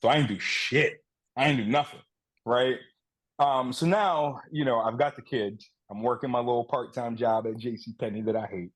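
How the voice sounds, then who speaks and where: A man in his thirties from Guyana talks as a podcast guest with a husky, slightly rough voice. He speaks candidly and profanely, and pokes fun at himself. His pitch sits in the middle. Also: steady tone, moderate pace. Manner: candid, profane, self-mocking. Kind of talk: casual podcast chat.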